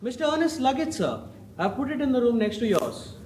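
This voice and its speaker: A man's voice: very high voice, very animated, fairly quick, a little mellow. A man playing a deferential, formal Victorian manservant in amateur stage comedy. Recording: echoey, noisy stage, distant mic.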